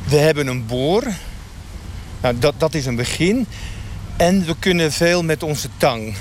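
A man playing an earnest satanic dentist in a mock radio interview, speaking in a halting, matter-of-fact way. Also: a little high, natural voice. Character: earnest satanic dentist of a sinister sect, male. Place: outdoors in a street. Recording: street noise, passing traffic.

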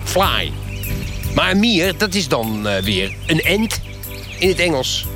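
An actor playing a know-it-all animal lover, explaining things in a halting, lecturing way in a mock documentary clip. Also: male voice, a little high, big pitch swings. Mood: earnest, pedantic. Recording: loud music under voice.

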